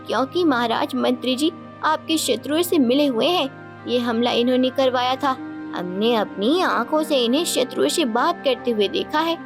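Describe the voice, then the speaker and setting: A woman's voice: sits high, normal speed. A woman voicing a scheming, vengeful queen in a cartoon moral story, accusing and theatrical in manner. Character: vengeful, scheming young queen, cold and mocking. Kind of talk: dramatic Hindi moral-story cartoon dialogue. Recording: loud music bed behind voice.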